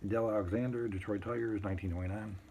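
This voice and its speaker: A man casually showing a collection on an unscripted video, reading items out in short, halting phrases with muttered asides. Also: lowish voice, fairly even intonation, mellow, a little hiss or hum. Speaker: collector showing vintage baseball cards. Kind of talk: casual unscripted show-and-tell, reading out cards.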